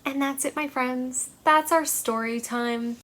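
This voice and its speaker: A woman hosting a storytime for young children, speaking in a deliberate, singsong way. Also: sits high, steady tone, a bit bright. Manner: singsong, deliberate, repetitive phrasing for small children. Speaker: children's library storytime host. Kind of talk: storytime read-aloud and simple activity for young children.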